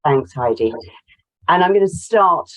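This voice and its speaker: Croaky-voiced woman presenting results. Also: on the low side, lively intonation, unhurried, rough recording. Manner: apologetic, walks through slides. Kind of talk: webinar presentation.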